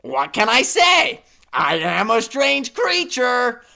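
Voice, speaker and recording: A man voicing a slow, gloating, hungry lake monster. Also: extremely high pitch, wildly swooping pitch, creaky, pushed and bright, pitched above the performer's own voice, heavily acted.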